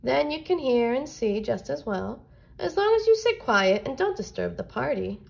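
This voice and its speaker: A woman's voice: high-pitched, very animated, normal speed, creaky, exaggerated voice, low-quality recording. A woman voicing a gracious, commanding queen of the flowers, regal and polite, in a children's storybook. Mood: gracious, firm.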